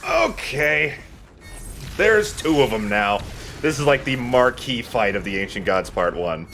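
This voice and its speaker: A man's voice: medium pitch, very animated, measured pace, pushed and bright, a little hiss or hum. A man chatting casually as he commentates on his gameplay, in an informal, self-deprecating way. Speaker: let's player playing and commentating. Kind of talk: casual gaming commentary chat.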